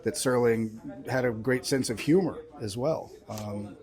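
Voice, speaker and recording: A man's voice: mid-range voice, a little slow, a little mellow. A man working as an interviewer, asking conversational questions in an agreeable, affirming, slightly tentative way.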